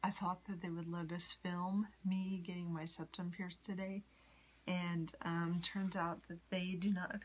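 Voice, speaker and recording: A woman in her forties from the United States, rambling in a personal vlog with many ums and soft whispery asides. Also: fairly low pitch, level tone, unhurried. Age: 40s. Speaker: vlogger. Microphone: phone.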